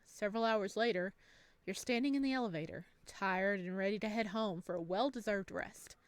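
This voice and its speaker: A woman with a medium-pitched voice hosts a gaming video in a dry, close recording. She reads story text aloud and slips into chatty, expressive asides to her viewers. Her manner is bubbly and informal. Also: moderate pace, no echo. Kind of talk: let's play, reading game text aloud with chatty reactions.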